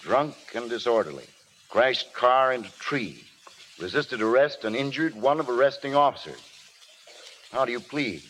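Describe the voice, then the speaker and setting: A man playing a stern judge in a vintage radio play. He makes clipped, formal pronouncements with a wide-ranging, mid-pitched voice, over faint hiss. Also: expressive, normal speed, fairly bright tone, close to an everyday voice, low-quality recording. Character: stern, grave, formal night court judge. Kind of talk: 1930s-40s radio drama acting. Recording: old radio broadcast with hissy noise.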